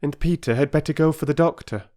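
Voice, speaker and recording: A man doing a bright, reassuring mother. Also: fairly high pitch, fast, voice pushed up, close to an everyday voice. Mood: instructing. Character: kind, bright-mannered mother, middle-aged woman.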